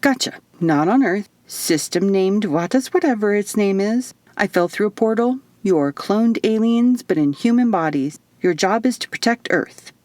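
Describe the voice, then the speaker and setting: A woman's voice: medium pitch, animated, normal speed, few pauses, a little mellow, no room sound, voice pushed up, natural voice. A woman voicing a sarcastic, skeptical young woman stranded on an alien planet, in flippant audiobook dialogue. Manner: flippant, casual.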